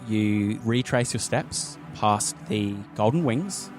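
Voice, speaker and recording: A man's voice: on the low side, lively intonation, slow pace, music in the background. A man narrating a tabletop roleplaying game as game master, with descriptive, dramatic storytelling.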